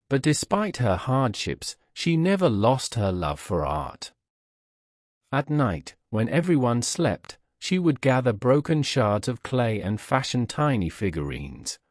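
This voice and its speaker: Guy reading a bedtime story, takes his time, lively pitch, super dry close recording. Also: no echo. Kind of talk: scripted bedtime story narration.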